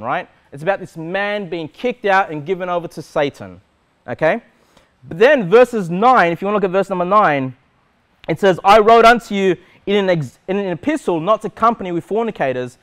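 A man, preaching a sermon as pastor in a church hall, asking questions and gesturing widely. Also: high-pitched. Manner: animated preaching with wide gestures, rhetorical questions. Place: church hall with metal walls.

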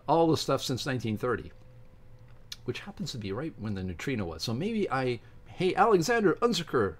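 An older man reads aloud from a book and adds informal, emphatic asides as a video host. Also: on the low side. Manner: emphatic, animated delivery with asides. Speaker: video host and science commentator. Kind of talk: informal commentary while reading aloud from a book. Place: indoors, home study with bookshelves.

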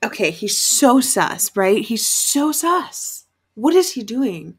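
A woman's voice: a little high, big pitch swings, slow pace, husky. A woman in her twenties giving casual, exasperated, sweary reaction commentary while watching a show. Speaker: reaction video host. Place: small bright bedroom, indoors.

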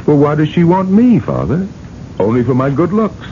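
A man's voice: a little high, big pitch swings, moderate pace, mellow. A man acting in a radio drama as a cunning, ruthless industrialist, cynical and defensive. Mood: glib, persuasive. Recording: dull, noisy old radio recording.

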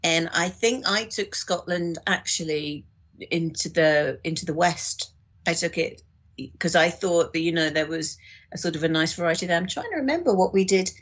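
Husky-voiced woman in conversation. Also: lowish voice, moderate pace. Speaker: audiobook producer, podcast guest. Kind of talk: casual interview discussion.

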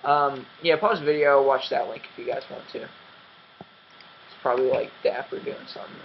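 A man's voice: mid-range voice, steady tone, normal speed, lo-fi sound, a little hiss or hum. A young man hosting a game commentary, rambling and self-mocking with lots of ums, his voice dry and cracking. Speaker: gaming channel host.

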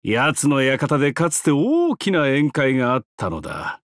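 A man's voice: mid-range voice, huge pitch swings, dry recording, studio quality. An actor voicing a depraved, mocking trickster giant-god held prisoner in a game, theatrical and taunting, drawing out his words. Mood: smug, theatrical. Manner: theatrical, taunting, drawn-out words, sudden swoops and cackles. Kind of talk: theatrical game character voice lines.

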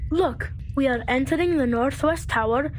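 An actress voicing a wry, reassuring young student in a video game. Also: female voice, high voice, normal speed, slight background noise, natural voice.

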